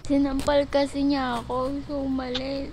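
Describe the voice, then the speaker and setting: A woman's voice: high voice, level tone, moderate pace, creaky. A young woman with a Filipino accent chatting casually as a playful prank caller, with noise behind her. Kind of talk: casual phone chat.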